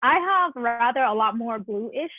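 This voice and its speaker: A woman's voice: on the high side, lively intonation, measured pace, no echo, faint music behind. A woman in her twenties from South Korea with a Korean accent, chatting casually as a podcast guest. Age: 20s. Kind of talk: casual chat. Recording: tinny, phone-like line, dry.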